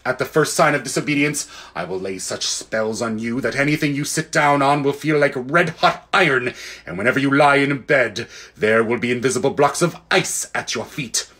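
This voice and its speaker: A man voicing an imperious, menacing witch queen in a storybook, with haughty, drawn-out commands. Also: medium pitch, pushed and bright, close to an everyday voice. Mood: menacing, commanding.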